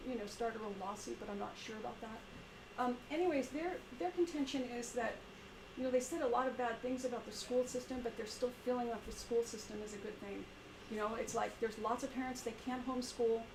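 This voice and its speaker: A woman with a quiet voice leading a group discussion at a meeting, talking in a halting way with many fillers and restarts. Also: a little high. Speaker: meeting presenter. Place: meeting room with a small group. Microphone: shared room mic for a video stream. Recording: echoey room, background noise.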